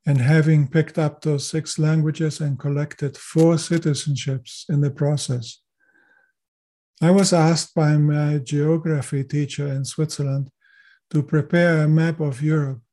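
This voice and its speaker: Older man, Chilean upbringing, German native, slightly high voice, dark mellow tone, measured formal delivery. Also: flat delivery. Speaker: guest. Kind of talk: podcast interview.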